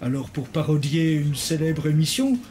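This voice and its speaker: Formal male interviewer, slightly high voice. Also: very animated, moderate pace, darkish tone, a little hiss or hum.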